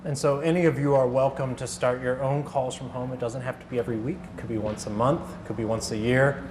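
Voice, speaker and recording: A man's voice: medium pitch, steady tone, fast talker. A man giving an informal workshop talk as a radio organizer, conversational and telling anecdotes in reported dialogue. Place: workshop room, live talk. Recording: clear background noise.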